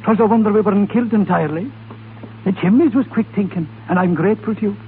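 An actor playing a loyal, excitable Irish rebel sidekick in a 1930s radio drama, earnest and reproachful, with a colloquial brogue. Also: male voice, high-pitched, fast, slight background noise. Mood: wry, relieved. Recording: tinny 1930s radio broadcast with music.

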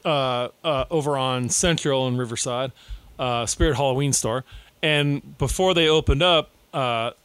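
A man's voice: medium pitch, a little slow, a bit bright, clean tone, no room sound. A middle-aged man in casual podcast banter, rambling, swearing and laughing often.

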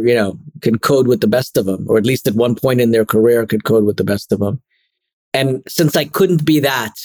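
A man from Trinidad and Tobago, a podcast guest, speaking in a measured, thoughtful and self-deprecating way. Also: medium pitch, darkish tone, dry recording, rough recording. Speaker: guest, product executive. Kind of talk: casual interview chat.

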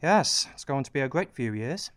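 Man performing self-pitying politician, mid-pitched, bitter, sardonic, cynical prime minister, radio satire. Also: animated, moderate pace, a bit bright, natural voice. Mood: smug, breezy. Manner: sardonic, bitter, conspiratorial, addressing the audience. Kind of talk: radio play satirical monologue.

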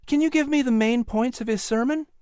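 A woman's voice: a little high, brisk, clean tone, no echo, studio quality, voice pushed up, lightly acted. A woman voicing a playful colonial mother. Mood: gentle. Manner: gentle, teasing questions.

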